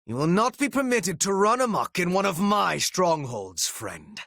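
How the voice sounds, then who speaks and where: An actor playing a cold, menacing and devoted retainer and strategist in a video game, with formal, archaic, theatrical diction and a raspy voice. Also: male voice, high voice, sing-song, measured pace, a bit bright, no echo, clean studio sound, heavily acted. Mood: cold menace.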